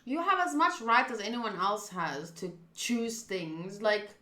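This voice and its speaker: A young woman streaming a visual novel, reacting casually and thinking aloud in quick, trailing asides. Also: mid-range voice, expressive, sharp tone, reverberant.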